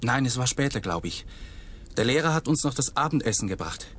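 A man's voice: mid-range voice, lively intonation, brisk, fairly bright tone, a little hiss or hum, close to an everyday voice. An actor playing an anxious, guilt-ridden young man in a radio drama, explaining himself earnestly. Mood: shaken, explaining. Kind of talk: German radio drama acting.

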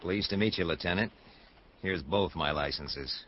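Deep-voiced, wry American private eye. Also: male voice, normal speed, dull audio. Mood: sardonic. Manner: sardonic, wisecracking. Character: wry, cynical American male private detective. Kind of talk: hardboiled radio drama, first-person narration and dialogue.

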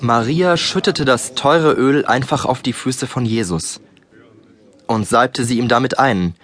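A man's voice: mid-range voice, measured pace, a bit bright, lightly acted. A man playing a disciple who narrates his memories reflectively, in scripted children's audiobook style. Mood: fond, wry. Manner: reflective, storytelling. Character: male disciple recounting memories as storyteller.

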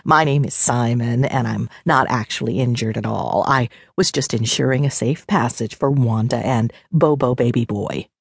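A woman narrator plays a wiry little skunk shifter, a cheeky man in an audiobook. He explains things matter-of-factly in a low voice, recorded dry. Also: moderate pace, vocal fry, hardly any pauses, husky, dry recording, full character voice.

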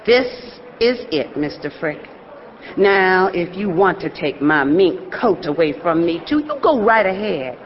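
An actress playing a defiant, world-weary, profane blues singer with a sassy, sardonic manner in an audio drama. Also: female voice, on the low side, big pitch swings, unhurried. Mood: defiant, taunting. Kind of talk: theatrical audio drama acting, with some blues singing. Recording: dull, reverberant stage recording.

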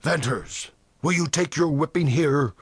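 A man's voice: fairly high pitch, a little slow, slightly rough, dry recording. A man voicing a grim, menacing religious elder. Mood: commanding, righteous. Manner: tense, harsh, commanding. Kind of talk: audiobook character dialogue in a western.

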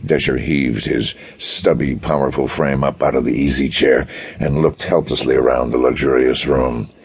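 A man with a gravelly, husky voice reading pulp detective fiction as a dramatic audiobook narrator. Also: a bass voice, fairly even intonation, normal speed.

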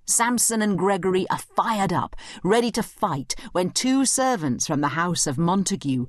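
Female educational narrator, scripted commentary. Expressive range, explanatory manner, dry studio-close sound. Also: fairly low pitch, normal speed, no room sound. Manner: explanatory, clearly spelling out points. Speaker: study guide narrator and commentator.

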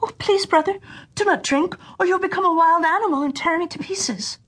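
A woman's voice: very high voice, fairly quick, fairly bright tone, pitched above the performer's own voice. A woman voicing an anxious, tender young girl in a fairy tale, pleading earnestly with her brother. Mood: frightened pleading. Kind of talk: children's fairy tale storytelling.

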